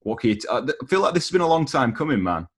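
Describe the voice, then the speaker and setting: A man's voice: mid-range voice, sing-song, rapid-fire, breathy edge. A man hosting a casual interview, speaking in a matey, slangy and enthusiastic way. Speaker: interviewer, host.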